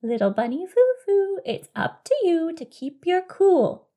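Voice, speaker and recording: A woman voicing a kindly but firm good fairy in a storybook, with a sing-song, gently scolding delivery. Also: very high voice, big pitch swings, measured pace, pitched above the performer's own voice, exaggerated voice. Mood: firm warning.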